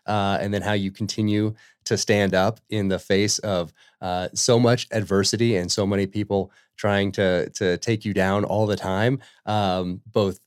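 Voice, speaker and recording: A man with a very low voice hosts a podcast and speaks in a dry, close-up sound. He reads his introduction earnestly. When he asks questions he stumbles and restarts, filling the gaps with fillers. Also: no room sound. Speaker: podcast host.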